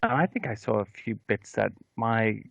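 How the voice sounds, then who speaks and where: A man's voice: lowish voice, expressive, normal speed, creaky, no room sound. A man in his thirties hosting a podcast interview from home on a headset mic, talking casually with many ums and restarts. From Wales. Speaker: podcast host. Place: home room with bookshelves. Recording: dry, slightly dull headset audio.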